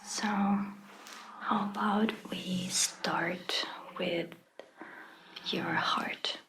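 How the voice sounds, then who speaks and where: A woman speaking in a breathy, gentle ASMR roleplay style as a caring, reassuring vampire doctor, with hesitant pauses. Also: on the low side, fairly even intonation, rough recording. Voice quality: breathy, whispery. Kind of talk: soft-spoken ASMR roleplay.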